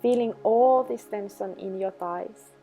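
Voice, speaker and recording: A woman leading a guided meditation, giving instructions in slow, measured phrases. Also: medium pitch, narrow, tinny audio. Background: background music.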